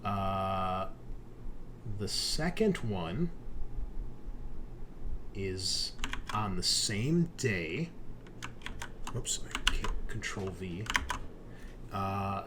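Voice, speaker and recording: A man running an online tabletop roleplaying game as game master, explaining things conversationally. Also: lowish voice. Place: indoors at a computer, online game session. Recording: background noise with keyboard typing.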